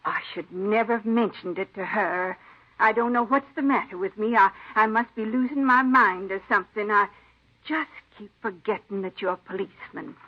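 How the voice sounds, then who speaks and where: An actress playing a folksy, forgetful, kindly elderly aunt who rambles in an old radio drama. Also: female voice, medium pitch, normal speed, natural voice. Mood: flustered, chattering. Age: older. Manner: rambling, folksy rural phrasing. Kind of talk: 1950s radio drama acting. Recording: dull old radio recording.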